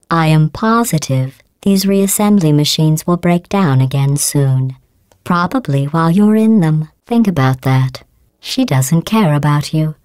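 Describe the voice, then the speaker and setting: A woman's voice: deep voice, clean tone, no echo. A cold, mocking female AI. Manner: dry, condescending insults delivered calmly. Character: cold sarcastic female AI overseer. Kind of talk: video game voice clips.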